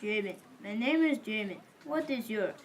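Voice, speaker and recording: A woman's voice: medium pitch, animated, normal speed, slight background noise, natural voice. A woman playing a helpful, courteous male merchant in an amateur biblical audio drama. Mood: friendly, curious.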